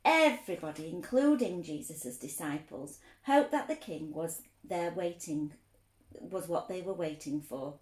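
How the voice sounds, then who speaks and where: A woman reading a story aloud for children, expressive, explaining things simply as a teacher would. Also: on the low side, a little slow, echoey room, low-quality recording.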